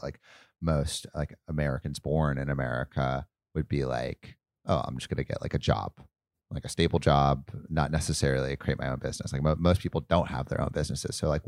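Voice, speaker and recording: A man hosting a podcast interview, asking questions casually with lots of "like" and halting restarts. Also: extremely low pitch, a little mellow, no echo, studio quality. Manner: conversational, heavy use of "like", halting restarts. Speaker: podcast host.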